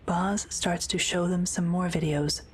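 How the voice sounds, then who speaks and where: A woman's voice: on the low side, steady tone, a little slow, a little mellow, husky. A woman reading scripted scene narration for an audio drama.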